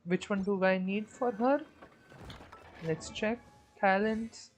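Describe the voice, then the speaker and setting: A woman's voice: mid-range voice, takes it slowly, slight background noise. A woman streaming a video game, casually thinking aloud and talking to her chat. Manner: hesitant restarts while counting. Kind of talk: casual live-stream commentary.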